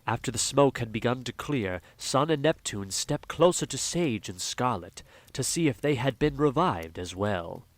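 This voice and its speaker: A man's voice: medium pitch, lively intonation, normal speed, few pauses, no echo. A man reading dramatic scripted narration as the narrator of an audio drama.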